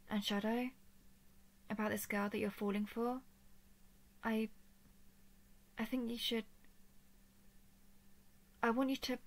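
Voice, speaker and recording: Woman playing a tender female dragon with creaky voice. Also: mid-range voice. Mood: hushed, flustered affection. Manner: tender, teasing, halting when flustered. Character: affectionate, flustered female dragon, a playful empath. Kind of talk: intimate scripted audio roleplay.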